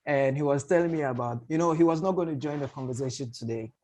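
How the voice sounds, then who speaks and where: A man's voice: mid-range voice, fast, mellow. A man hosting an online panel discussion, informal and chatty, rephrasing as he goes. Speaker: host, panel moderator. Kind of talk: casual chat, moderating an online discussion. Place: online video call.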